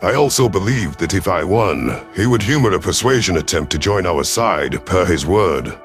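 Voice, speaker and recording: Casting: male audiobook narrator, deep voice, measured and solemn sci-fi storytelling over faint music. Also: animated. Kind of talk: scripted first-person sci-fi narration.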